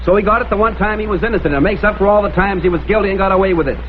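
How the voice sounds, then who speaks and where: A man's voice: sits high, rapid-fire, a little hiss or hum, close to an everyday voice. An American man playing a tough, wry freelance photographer in a 1950s TV crime drama, terse and sardonic. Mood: sardonic, dismissive. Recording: tinny, band-limited vintage TV soundtrack with music.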